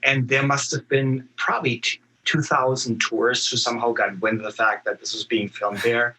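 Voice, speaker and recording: A man speaking as a guest actor in a casual podcast interview, telling stories in a halting, thoughtful way. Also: mid-range voice, fairly even intonation, sharp tone, slightly rough, poor audio.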